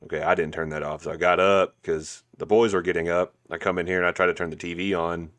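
A man's voice: low-pitched, flat delivery, fast talker, fairly bright tone. A man in his twenties, co-hosting a podcast in casual chat, laid-back and swearing a lot. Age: 20s. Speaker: podcast co-host.

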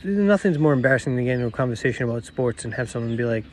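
A middle-aged man with a nasal voice hosting a podcast on his own, rambling and self-deprecating, talking quietly outdoors. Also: medium pitch, expressive, rapid-fire, slight background noise. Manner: quiet, not projecting. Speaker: podcast host. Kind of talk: casual solo monologue.

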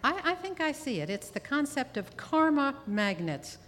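Lively quipping old sorceress in a hall. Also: female voice, sits high, normal speed. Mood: knowing, chatty. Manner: theatrical, quipping. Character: eccentric, chatty old sorceress, playful and knowing. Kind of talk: live audio theatre comedy acting. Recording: reverberant live hall with faint noise.

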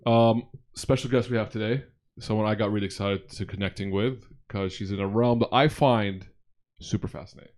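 Fast-talking low-voiced male podcast host. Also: fairly even intonation, a little mellow. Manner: rambling, repeats himself, friendly. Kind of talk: casual interview chat.

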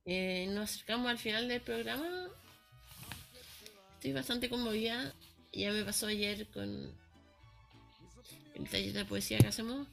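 Woman radio host, creaky and slow, bright tone, faint music behind. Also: mid-range voice. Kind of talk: casual radio chat.

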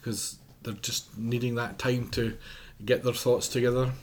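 Man, earnest, restarts often, middle pitch, podcast guest. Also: flat delivery, unhurried, a little mellow, slight background noise. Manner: earnest, many restarts, advice-giving. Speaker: mental health charity policy director.

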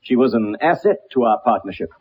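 A haughty British detective for radio drama, precise and dryly superior. His pitch moves widely and the voice is a little husky. The old recording is dull and lo-fi. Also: lively intonation, brisk. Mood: disapproving. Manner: pompous, precise, formal. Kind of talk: radio drama acting with first-person narration.

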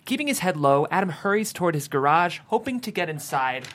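A man's voice: a little high, sing-song, a little fast, a bit bright, a little hiss or hum. A man narrating the stage directions at a screenplay table read.

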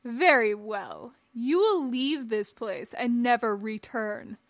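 A woman's voice: a little high, very animated, takes it slowly, no room sound, exaggerated voice. A woman voicing an imperious, scornful male Jedi master in a stern, formal, lecturing manner, in an audiobook-style story. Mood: haughty, lecturing. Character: tall imperious old Jedi master, scornful, pompous man.

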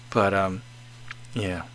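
A man's voice: low-pitched, fairly bright tone, slight background noise, low-quality recording. A man in his forties casually chatting as host, reading out viewer comments and rambling with many restarts. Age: 40s.

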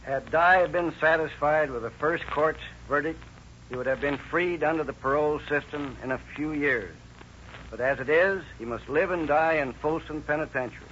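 A man delivers a formal scripted address as a sheriff on old-time radio. His voice is a little high. The recording is dull and faintly noisy. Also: normal speed, fairly bright tone. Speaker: sheriff giving case epilogue.

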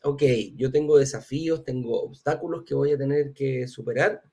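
A man's voice: mid-range voice, normal speed, dark tone, husky. A man with a Chilean accent hosting a live class and interview in an informal, chatty way. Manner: chatty, informal, uses affectionate diminutives. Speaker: host of a live real estate investment class.

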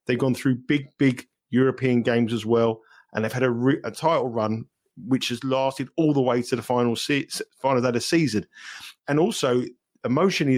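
London-accented male betting analyst, chatty and animated, mid-range voice. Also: mid-range voice. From England. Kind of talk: podcast interview.

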